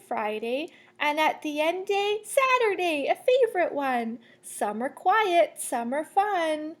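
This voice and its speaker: A woman with a high voice reads aloud to children at a slow, deliberate pace. She has the manner of a teacher sharing a picture book, giving the lines a sing-song, storytelling shape. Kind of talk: children's read-aloud storytelling with asides to listeners.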